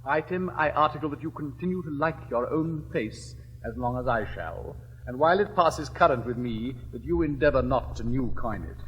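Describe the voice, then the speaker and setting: Actor doing a dry witty gent, crisp and declaiming, mid voice, roomy old recording w/ hiss. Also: male voice, mid-range voice, expressive, moderate pace, hardly any pauses, highs cut off, close to an everyday voice. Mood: wry. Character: elegant, witty gentleman suitor. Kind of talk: classic stage comedy acting.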